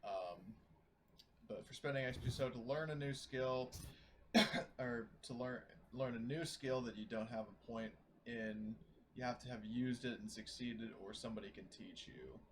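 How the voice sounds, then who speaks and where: A man's voice: medium pitch, measured pace. Man chatting over noticeable background noise. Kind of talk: casual post-game recap chat. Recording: noisy room, clear background hiss.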